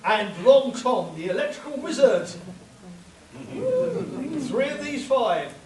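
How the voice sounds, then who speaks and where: Very high-pitched theatrical male announcer. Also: sing-song, slow pace, a little mellow. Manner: theatrical, jokey. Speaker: announcer and narrator. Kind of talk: live spoof radio-show narration. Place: convention hall before a live audience. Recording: echoey distant mic, noisy room.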